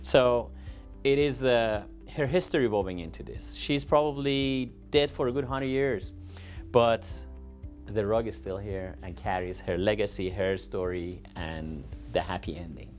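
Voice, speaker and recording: A man's voice: on the low side, measured pace, faint music behind. A man hosting a design show, presenting and interviewing in an effusive, complimentary way. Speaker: TV show host.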